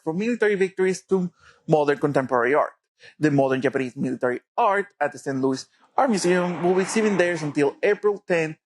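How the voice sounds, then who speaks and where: A young man reads a scripted news package. His voice sits somewhat high, with steady reporter delivery. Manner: read from script.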